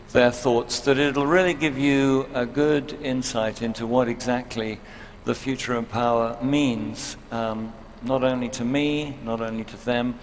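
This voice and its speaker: A man's voice: medium pitch, slight background noise. A man hosting a live talk show on stage in a big hall, welcoming guests and inviting them up. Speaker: host and moderator. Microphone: handheld microphone.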